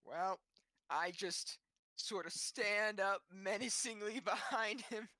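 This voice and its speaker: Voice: mid-range voice, slow, pushed and bright, no room sound, studio quality. A player in a tabletop game, questioning the rules and pushing back on the game master. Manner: argues points, asks rules questions. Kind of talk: casual tabletop game chat.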